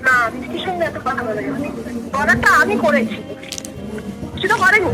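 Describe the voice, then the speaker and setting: A woman's voice: high-pitched, very animated, a little fast. A middle-aged woman on a phone call as a parcel customer, protesting firmly in everyday conversation. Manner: firm, protesting. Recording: phone call under loud music. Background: loud music.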